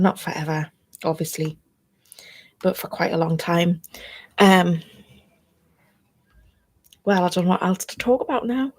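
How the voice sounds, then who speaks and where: Chatty North East English woman, low-pitched. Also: darkish tone, husky. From North East England. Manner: chatty and meandering, drifting between topics. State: slightly froggy, chesty throat. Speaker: vlogger. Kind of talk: casual chat to camera.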